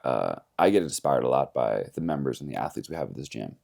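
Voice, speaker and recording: A man's voice: extremely low pitch, expressive, fast, gravelly, darkish tone. A man coaching at a gym, casually interviewing a member with friendly, teasing questions.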